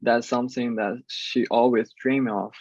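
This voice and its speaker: A man, a film producer, speaking halting, non-native English in a casual video interview. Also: mid-range voice, steady tone, no room sound, lo-fi sound.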